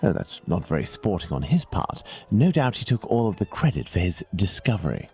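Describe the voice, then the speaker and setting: A self-assured English gentleman detective in a video game. His voice is very low but moves with lively intonation, formal and deliberate as he reasons, with music underneath. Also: husky, full character voice. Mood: coolly persuasive. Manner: deliberate, formal, deductive phrasing. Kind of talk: scripted video game acting.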